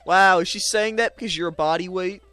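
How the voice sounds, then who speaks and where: A man's voice: sits high, music in the background. A teenage boy, a podcast co-host, who keeps laughing and cutting in with jokes. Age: teens. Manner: laughs a lot, quick joking interjections. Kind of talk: casual chat, joking.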